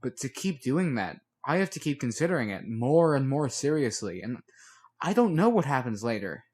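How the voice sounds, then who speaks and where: A man voicing a moody, self-doubting teenage girl who confides in a halting, slangy way. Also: mid-range voice, very animated, a little mellow, breathy edge, voice pushed up. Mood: troubled, confessional.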